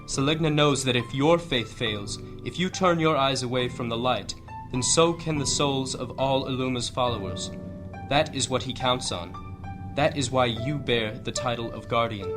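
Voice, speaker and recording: An actor playing a grave, earnest church leader, speaking in measured, oratorical fashion in a fantasy audio drama. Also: male voice, mid-range voice, over music, natural voice. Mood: earnest exhorting. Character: solemn male high church leader, earnest and weighty.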